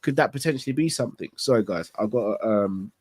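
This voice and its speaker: A man's voice: lowish voice, lively intonation, fairly quick, darkish tone, husky. Man with a stuffy head cold. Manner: candid, thinks aloud with rhetorical questions. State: congested from a cold. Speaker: football fan channel host. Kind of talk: casual live-stream chat. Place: small studio set with club decor.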